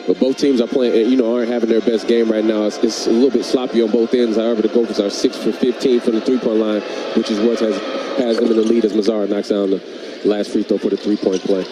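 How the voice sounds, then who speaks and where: Guy doing color on a hoops game, talks fast, noisy booth. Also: on the low side, flat delivery. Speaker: radio color analyst. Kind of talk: live basketball analysis. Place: broadcast booth in a sports arena. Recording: clear background noise from arena broadcast.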